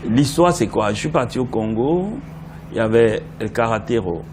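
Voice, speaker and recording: A man's voice: medium pitch, moderate pace. A middle-aged man from Benin, a Yoruba speaker, chatting animatedly as an interview guest. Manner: animated storyteller, breaks into song. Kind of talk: casual interview chat. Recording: noisy background.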